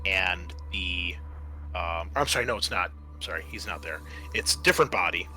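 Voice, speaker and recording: A man running a tabletop roleplaying game as game master, describing scenes in a halting, conversational way and often restating himself. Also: low voice, gravelly, pushed and bright, faint music behind.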